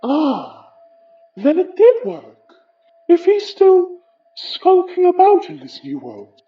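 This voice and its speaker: Slow, high-voiced haughty British ghost. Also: female voice, huge pitch swings, recorded from a distance, muffled recording, heavily acted. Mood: wistful. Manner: theatrical, formal, deliberate. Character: haughty, bitter aristocratic ghost of an Edwardian occultist. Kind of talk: horror audio drama acting.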